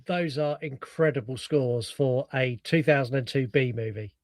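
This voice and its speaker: A man's voice: mid-range voice, normal speed, no room sound. A man hosting a film podcast while he has a cold, sounding nasal as he chats and plugs the show. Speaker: podcast host. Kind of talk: casual chat and show plugs.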